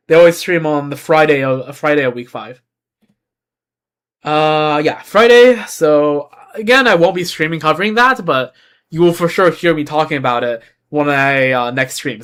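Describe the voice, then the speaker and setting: A man's voice: a little high, a bit bright. A young man, a native Chinese speaker from Beijing, chatting casually as a game streamer in a rambling, self-correcting way. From China (Beijing).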